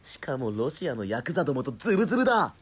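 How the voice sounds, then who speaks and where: A man's voice: medium pitch, sing-song, racing, breathy edge. An actor playing a captured military policeman in an audio drama, defiant but cornered, blustering and grudging. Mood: panicked.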